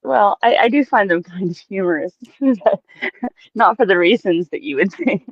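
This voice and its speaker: A woman's voice: medium pitch, animated, normal speed, no echo, lo-fi sound. A woman chatting casually as a livestream co-host, giggly and prone to repeating her phrases.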